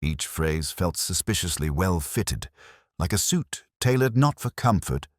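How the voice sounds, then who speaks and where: A man narrating a first-person detective story in a measured, brooding, literary manner. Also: sits low, very animated, dry recording, clean studio sound.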